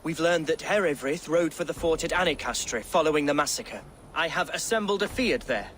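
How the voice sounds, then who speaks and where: A man's voice: a little high, animated, fairly quick, a bit bright. A man playing an earnest, unsure young Anglo-Saxon nobleman in a video game, somewhat hesitant in manner. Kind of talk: video game cutscene dialogue. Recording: clear outdoor ambient noise behind.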